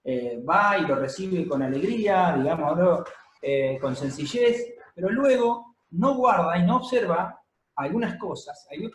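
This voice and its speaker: Male preacher, higher register, teaching sermon delivery with hesitant pauses, into a mic in a reverberant hall. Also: lively intonation, slight background noise. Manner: explanatory teaching, frequent pauses and fillers. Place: church hall, congregation present and streamed. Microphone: handheld or stand microphone. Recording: echoey room.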